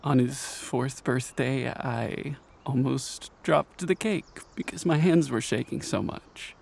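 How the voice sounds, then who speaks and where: Casting: male actor as grieving middle-aged father, soft dark tone, husky, halting and tender, emotional drama. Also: medium pitch, exaggerated voice. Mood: regretful, sorrowful. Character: tearful middle-aged father grieving his grown son's departure. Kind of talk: emotional audio drama dialogue.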